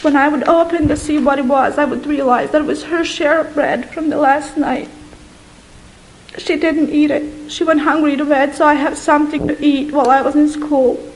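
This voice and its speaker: A young woman from Bosnia slowly and earnestly telling her life story as a testimony. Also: high voice, steady tone, a little hiss or hum, poor audio. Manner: slow, earnest, with long pauses. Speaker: guest giving a testimony. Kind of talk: slow personal testimony.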